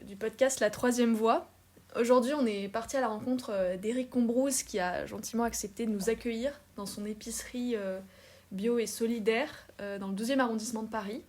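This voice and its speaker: Young woman hosting, fairly high voice. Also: fairly even intonation, normal speed, darkish tone. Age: young adult. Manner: friendly, with frequent fillers. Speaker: student podcast host. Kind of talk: podcast intro and interview.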